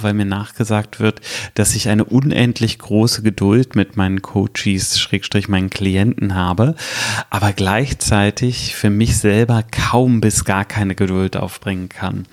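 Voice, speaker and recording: A man in his thirties hosting his own podcast, talking directly to the listener in a reflective, advisory way. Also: on the low side. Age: 30s. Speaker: podcast host, strategy consultant. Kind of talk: solo podcast monologue.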